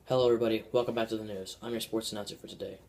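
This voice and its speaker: A man's voice: fairly low pitch, steady tone, very fast, close to an everyday voice. A man playing an upbeat 1920s radio sports announcer, reading scripted news.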